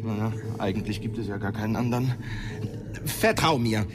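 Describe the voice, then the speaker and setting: A man's voice: lowish voice, moderate pace, close to an everyday voice. Guy playing anxious pompous wizard, radio play, big hall reverb, some music under him. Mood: alarmed, urgent. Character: fussy, excitable male wizard, anxiously self-important. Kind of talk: radio drama acting.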